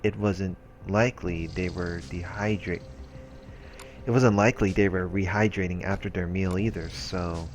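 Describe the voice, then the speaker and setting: A man's voice: low voice, fairly even intonation, normal speed. A man reading visual novel narration aloud as a let's play host, with casual asides. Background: game music.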